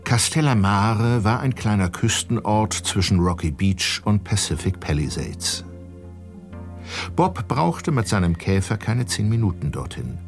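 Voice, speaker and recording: A man narrating a German detective radio play as an expressive, measured storyteller. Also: lowish voice, soft background music. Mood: calm, scene-setting.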